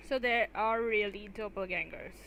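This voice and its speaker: A woman's voice: mid-range voice, fairly bright tone, slight background noise, poor audio. A woman livestreaming a game with casual commentary, musing aloud as she plays. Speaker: livestream gamer.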